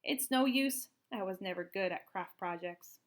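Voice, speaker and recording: A woman voicing a kindly, excitable farm woman from a storybook, gushing and exclamatory. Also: mid-range voice, moderate pace, lightly acted. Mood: flustered.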